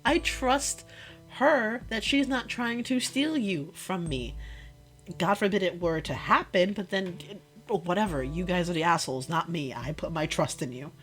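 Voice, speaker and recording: A woman playing a hurt, exasperated girlfriend confronting her boyfriend in an intimate one-sided audio roleplay, speaking emphatically and haltingly and repeating herself. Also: fairly low pitch, fairly bright tone, soft background music. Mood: angry, bitter.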